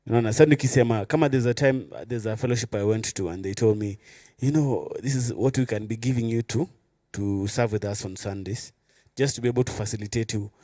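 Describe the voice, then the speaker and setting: Male panelist, Kenyan accent, dark relaxed mid-range voice, dry sound, halting conversational delivery. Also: medium pitch, no room sound. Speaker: musician panelist. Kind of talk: casual panel discussion.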